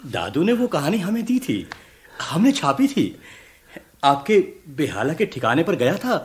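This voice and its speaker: Lively, respectful young man. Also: on the high side, unhurried. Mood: earnest, admiring. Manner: polite, earnest. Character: respectful, eager young male small-newspaper publisher, attentive helper. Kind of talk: radio drama acting.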